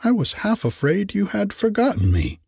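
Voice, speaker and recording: Brief: timid poor young gardener, raised and soft, husky man voicing him. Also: wildly swooping pitch, moderate pace, dry recording, clean studio sound, full character voice. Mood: meek. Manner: soft, meek, higher voice. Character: humble poor young gardener, meek and eager.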